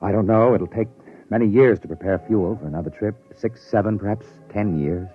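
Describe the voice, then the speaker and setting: A low-pitched older space captain, grave and quietly regretful. He speaks with restraint in the manner of fifties radio drama, on a muffled, narrow recording. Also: fairly quick, husky, faint music behind. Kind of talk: 1950s radio drama acting.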